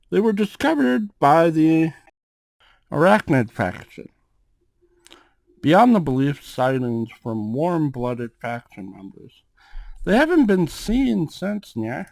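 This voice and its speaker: Guy doing a smooth cat boss for asmr roleplay, protective n calm, really unhurried, super dry close sound. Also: medium pitch, no echo. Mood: explaining, mysterious. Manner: measured, drawn-out delivery with questioning tags. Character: suave male cat-folk gang boss, calm and protective. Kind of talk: ASMR roleplay, acted monologue to one listener.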